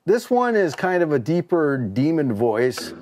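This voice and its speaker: A man's voice: a little high, very animated, measured pace, a little mellow. A middle-aged man giving a casual, conversational hands-on demonstration as a video host. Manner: conversational, explanatory. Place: home studio workshop, indoors.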